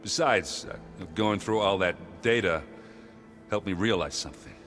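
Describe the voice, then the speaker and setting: An actor playing a gruff, good-hearted, self-deprecating soldier in a video game, talking in a hesitant, joking but sincere blokey way. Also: male voice, on the low side, normal speed, fairly bright tone, lightly acted. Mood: reflective, sincere. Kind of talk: video game dialogue acting. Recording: prominent music behind the voice.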